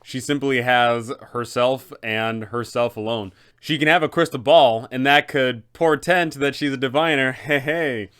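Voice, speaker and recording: A man's voice: mid-range voice, measured pace, few pauses, fairly bright tone, clear, resonant tone. A man hosting a guide for game masters, explaining how to run a fantasy role-playing campaign. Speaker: host, game master giving advice. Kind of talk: explanatory narration with role-play advice.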